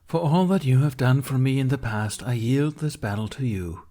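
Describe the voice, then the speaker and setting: A man's voice: mid-range voice, expressive, moderate pace, mellow, pitched above the performer's own voice, natural voice. Cheeky young stage knight acted by a male reader, mocking and sarcastic, for a fantasy story. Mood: mock-gallant. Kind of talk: character voice in fan fiction reading.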